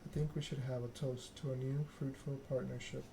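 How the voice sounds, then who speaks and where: Refined female vampire mentor, read by a man. Game dialogue, courteous, instructive, very even delivery. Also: mid-range voice, fairly quick, vocal fry, noisy background, lightly acted. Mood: gracious, sly.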